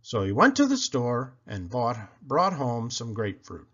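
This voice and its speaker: A man reading a picture book aloud to children, expressive and deliberate in his phrasing. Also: mid-range voice, dull audio.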